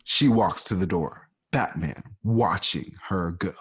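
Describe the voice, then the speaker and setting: A man with a husky voice casually narrating stage directions for a radio play, adding asides. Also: sits low, takes it slowly. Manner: casual, animated, adds asides.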